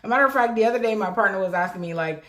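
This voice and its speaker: A woman's voice: medium pitch, racing, a bit bright. A woman from South Florida, a notary business mentor, chatting live with viewers in an upbeat way and laughing often. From South Florida, USA. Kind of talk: live-stream chat answering viewers.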